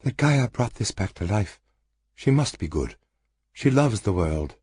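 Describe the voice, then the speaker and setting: Brief: a male reader playing a soft wistful woman, raised gentle voice, husky, dry close sound. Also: medium pitch, animated, a little fast, dry recording, voice pushed up. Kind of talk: audiobook character voice.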